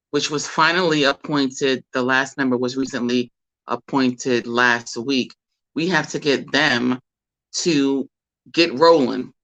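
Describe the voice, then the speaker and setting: Slightly raspy American talk host, under the weather. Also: medium pitch, a bit bright, low-quality recording. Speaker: host of a community talk show. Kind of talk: informal solo livestream commentary.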